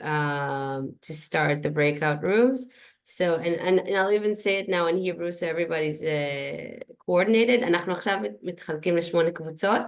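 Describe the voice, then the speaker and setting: A woman moderating an online session, giving practical instructions. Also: lowish voice. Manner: practical, quick switching into Hebrew. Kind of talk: casual moderation. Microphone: video call.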